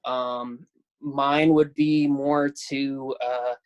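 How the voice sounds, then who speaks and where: A man's voice: mid-range voice, little pitch movement, drawn-out words, clear, resonant tone, lo-fi sound. A man in his thirties, a pro wrestler talking casually on a video call, hesitant with many fillers and self-deprecating laughs. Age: 30s. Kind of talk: casual chat.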